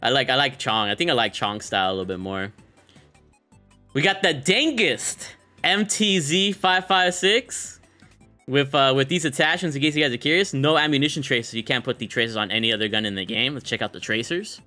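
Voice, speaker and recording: A young man hosting a casual game showcase, talking in an excited, slangy way on a close microphone. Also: mid-range voice, a little fast, faint music behind. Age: young adult. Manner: slangy, enthusiastic. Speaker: gaming content creator and host.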